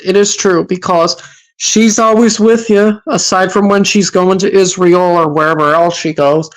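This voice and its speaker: A woman's voice: lowish voice, steady tone, moderate pace, poor audio. A woman from Massachusetts hosting a livestream, reacting to clips with sarcastic, scolding commentary and frequent hesitations.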